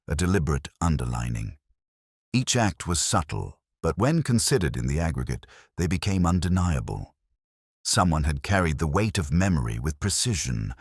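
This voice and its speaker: A man playing a weary police inspector, narrating his case in a measured, reflective and solemn way. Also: rumbling low, breathy edge, no echo, clean studio sound, exaggerated voice. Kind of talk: slow scripted first-person audiobook-style narration.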